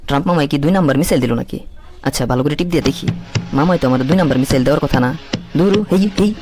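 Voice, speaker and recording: A whiny, trigger-happy cartoon nephew played by a man with a high-pitched male voice and a Bangladeshi accent. He pleads and grumbles in colloquial speech, over clear background noise. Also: racing, close to an everyday voice. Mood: puzzled, grumbling. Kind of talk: comic animated satire dubbing.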